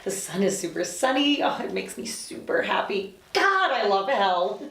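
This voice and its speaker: A woman's voice: medium pitch, sing-song, normal speed, a bit bright. A woman reading the part of a chirpy, bossy, sardonic resident of hell in a drama table read. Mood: gushing. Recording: roomy echo, distant mic.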